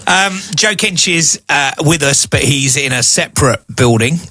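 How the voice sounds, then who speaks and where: A man's voice: fairly high pitch, lively intonation, a little slow, pushed and bright, no echo, clean studio sound. A middle-aged man co-hosting a casual podcast, chatty and rambling, laughing often. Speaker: podcast co-host and radio station manager.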